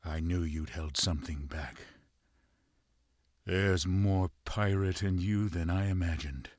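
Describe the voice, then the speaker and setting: A commanding pirate lord in a dramatic game cutscene. The voice is fairly low and recorded very dry. He is shrewd and measured, with quiet menace and full authority. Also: no echo. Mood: knowing. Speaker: video game character giving mission briefings.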